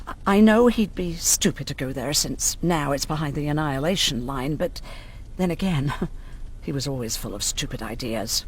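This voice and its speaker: A wistful survivor in a post-apocalyptic game. The female voice is husky and breathy, with a hesitant, confiding delivery. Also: low voice, animated, normal speed. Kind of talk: video game dialogue.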